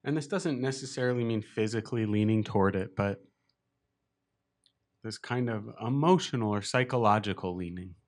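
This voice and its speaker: Low-voiced man guiding a meditation. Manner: gentle, unhurried, with long pauses. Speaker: meditation teacher. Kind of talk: guided meditation instruction.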